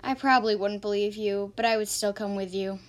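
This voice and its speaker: A woman playing a feisty, exasperated young heroine in an amateur fairy-tale radio drama, sarcastic and excitable. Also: mid-range voice, brisk, lightly acted. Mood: incredulous, insistent.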